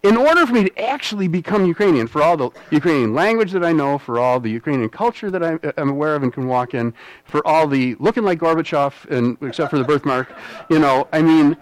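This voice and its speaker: A man's voice: on the high side, lively intonation, a little fast, rough recording. A man from the United States preaching a rambling, conversational sermon, with frequent "all right?" check-ins and self-deprecating humor. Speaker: pastor.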